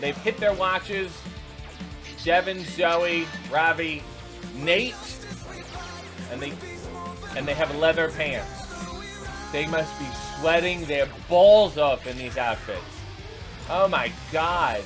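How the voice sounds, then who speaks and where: A man with a somewhat high-pitched voice reacts to clips as he watches them. His running commentary is unscripted, incredulous and sarcastic, with an exasperated edge. Music plays clearly behind his voice. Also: slow, long pauses. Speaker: reaction video host.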